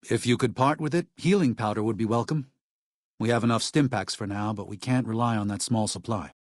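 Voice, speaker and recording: A man acts out a devout missionary from a video game, a gentle man who worries over his flock. His voice sits at a medium pitch, earnest and soft-spoken, with hesitant, pleading phrasing. The sound is close and dry, with no room around it. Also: dry recording, lightly acted. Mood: grateful. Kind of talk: video game character dialogue.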